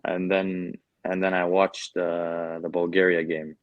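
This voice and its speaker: A man's voice: sits low, a little slow, dry recording, phone-quality sound. A young man with a Canadian accent, answering interview questions casually and with many fillers. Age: young adult. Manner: relaxed, hesitant with many fillers. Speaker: guest soccer player.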